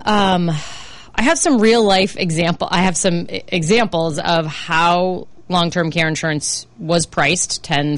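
A middle-aged woman hosting a talk radio show, slightly hoarse and chatty, with many fillers and restarts. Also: low voice, no room sound. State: slightly hoarse, under the weather. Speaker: radio show host, financial planner. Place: radio studio. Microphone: studio microphone.

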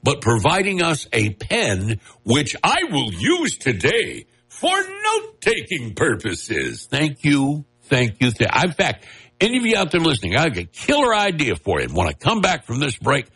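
A man's voice: a little high, huge pitch swings, moderate pace, a bit bright, slightly rough. A man hosting a radio talk show, chatting casually in a nasal, joking, rambling way. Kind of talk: casual on-air chat. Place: radio studio. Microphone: studio mic with headphones.

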